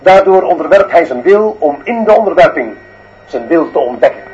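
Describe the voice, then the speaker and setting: An actor playing a stern, dictatorial school director in a radio drama, declaiming in emphatic, measured phrases. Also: male voice, a little high, lively intonation, a little mellow, slight background noise, lightly acted. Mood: cold, doctrinaire. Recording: slightly dull, muffled sound.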